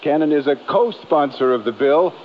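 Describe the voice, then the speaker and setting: A man's voice: a little high, lively intonation, moderate pace. A man with an American accent reading the morning news as a radio anchor. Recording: muffled radio aircheck with noise.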